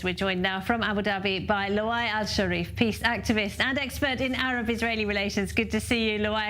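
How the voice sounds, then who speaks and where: A female news anchor leads a live interview with a guest. She sounds bright and conversational as she asks her questions. Her voice sits a little high, and a faint background hiss runs under it.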